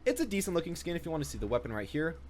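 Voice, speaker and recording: A young man hosts a gaming video in a fairly high, very lively voice that moves along briskly. His commentary is casual and rambling, as if made up on the spot. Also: a little hiss or hum.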